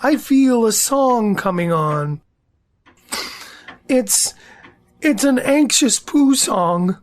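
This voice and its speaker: A woman's voice: a little high, animated, very slow. An actor, a woman, playing a naive, self-important, food-loving bear in a children's audio drama, musing in a hesitant singsong. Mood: hopeful, anxious.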